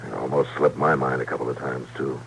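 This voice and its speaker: A steadfast, weary American marshal of the Old West. His extremely deep voice crackles with gravel as he speaks tersely and calmly, in a slightly noisy vintage radio drama recording. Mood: grave, gentle. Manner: measured, terse, quietly persuasive. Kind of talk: old-time Western radio drama acting.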